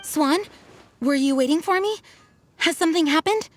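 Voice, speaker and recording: Earnest young knight commander, an actress, fairly high. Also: female voice, normal speed, natural voice. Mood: dutiful concern. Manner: polite, modest, formal. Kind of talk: video game voice acting.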